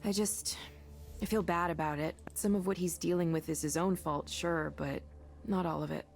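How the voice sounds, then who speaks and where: Lowish-voiced, candid co-worker. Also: female voice, fairly even intonation, normal speed, gravelly. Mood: weary concern. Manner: conversational, frank. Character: candid, weary but kindly woman, friendly co-worker. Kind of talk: visual novel voice acting.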